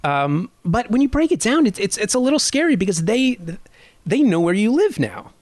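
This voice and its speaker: A man's voice: sits high, sing-song, moderate pace, a little mellow, no room sound. A man in his thirties hosting a podcast, introducing and chatting with a guest in a friendly way. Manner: friendly, conversational, slight hesitations. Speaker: podcast host and interviewer. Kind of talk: podcast intro and interview chat.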